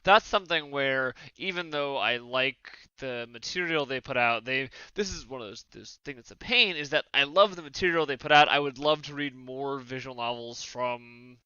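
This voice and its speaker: A man's voice: mid-range voice, moderate pace, few pauses, bright, forceful tone, clear, resonant tone, no room sound, lo-fi sound. A man on a casual podcast panel, speaking in a blunt, opinionated way.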